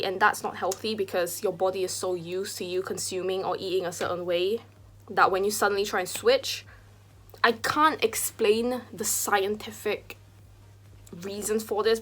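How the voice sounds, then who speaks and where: A woman in her twenties, raised in Singapore, talking straight to camera in an open, confessional way. Her voice sits at an average pitch for a woman. She fills her sentences with casual "like" and "you know," and now and then drops into a soft whisper. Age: 20s. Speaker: vlogger and streamer. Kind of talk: candid personal vlog talk.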